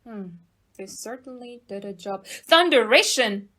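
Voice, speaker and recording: A woman playing an excitable, gruff police inspector in an audio play, blustering and commanding. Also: on the high side, a little slow, full character voice. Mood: shocked, indignant.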